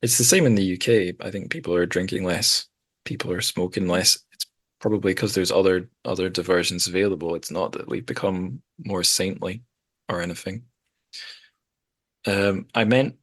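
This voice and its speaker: A man's voice: low voice, dry recording. A man hosting a casual literary podcast, talking in a wry, discursive way with hesitant phrasing. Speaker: podcast host.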